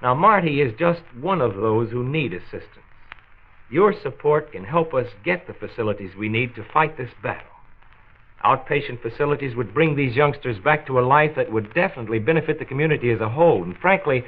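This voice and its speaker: An actor playing a reassuring, earnest county doctor with a measured manner, in a mid-century radio drama. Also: male voice, mid-range voice, expressive, natural voice. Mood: earnest, appealing. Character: reassuring, civic-minded male county doctor, a measured persuader. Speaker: health commissioner. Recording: tinny phone-like old radio recording.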